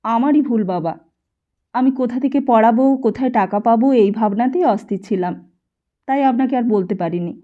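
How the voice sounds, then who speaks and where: A woman's voice: a little high, a little fast, lightly acted. A woman voicing a worried, hard-pressed mother who pleads and scolds, in a dull lo-fi recording. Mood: apologetic.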